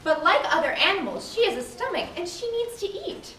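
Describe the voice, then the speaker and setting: A woman's voice: extremely high pitch, big pitch swings, brisk, pushed and bright, exaggerated voice. A young woman playing an encouraging, knowledgeable ocean guide, explaining things in a children's puppet show. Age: young adult. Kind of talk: scripted children's educational show. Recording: echoey hall, distant mic, crowd noise.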